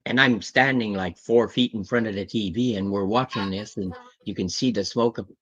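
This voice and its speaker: A man's voice: fairly low pitch, normal speed, breathy edge. Muffled sixty-something man. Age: 60s. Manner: rambling, swears casually, acts out quoted voices. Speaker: guest on comic livestream. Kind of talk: casual storytelling chat. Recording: muffled lo-fi call audio.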